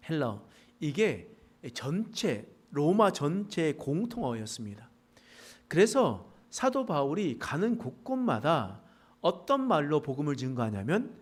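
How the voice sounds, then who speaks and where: A middle-aged man from the Pohang countryside preaches to his congregation, and traces of a Gyeongsang dialect slip into his speech. His voice sits a little high for a man and swings widely in pitch. He tells his points in a folksy way with joking asides. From South Korea, Pohang area. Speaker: pastor. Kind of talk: sermon. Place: church sanctuary.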